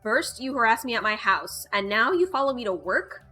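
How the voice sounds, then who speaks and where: An angry young woman bartender from a visual novel, acted out by a woman reader, bright and sharp-edged. She is combative and accusing, pushing her words out loudly over faint music. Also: on the high side, very animated, moderate pace, exaggerated voice. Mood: outraged.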